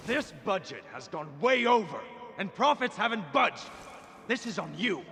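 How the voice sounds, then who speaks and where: A man's voice: high voice, big pitch swings, moderate pace, vocal fry, sharp tone, faint music behind, heavily acted. An actor playing an angry, accusing male company manager in a video game. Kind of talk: anime game voice acting. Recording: long echoing reverb.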